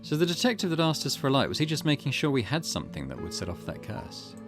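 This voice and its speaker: A man hosting a let's play, reading a visual novel aloud and thinking out loud in between. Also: mid-range voice, wildly swooping pitch, quick pace. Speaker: gaming channel host. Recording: music under the voice. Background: game music.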